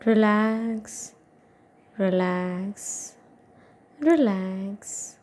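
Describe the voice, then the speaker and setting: A woman guiding a meditation as an instructor, giving soothing instructions in short phrases with long pauses between them. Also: mid-range voice, darkish tone. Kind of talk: guided meditation, yoga nidra narration.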